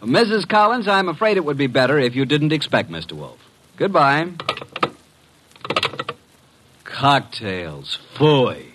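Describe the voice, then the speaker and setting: An actor playing a breezy male detective's assistant in an old radio drama, with a wry, smooth-talking manner. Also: mid-range voice, very animated, moderate pace, background noise, lightly acted. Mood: breezy, polite. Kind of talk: 1940s-50s radio drama acting and narration. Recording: vintage radio drama recording.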